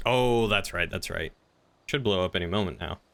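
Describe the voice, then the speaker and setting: Bright low-pitched male game streamer. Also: normal speed, gravelly. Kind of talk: casual gaming stream chat.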